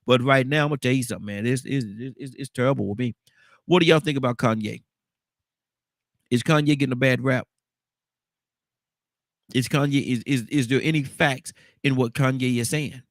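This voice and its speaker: Congested guy hosting call-in radio, mid-pitched, rambles, says the number again and again, dead dry sound. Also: normal speed, long pauses, no echo. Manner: rambling, repeats himself, keeps calling out the phone number. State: stuffed-up nose from a cold.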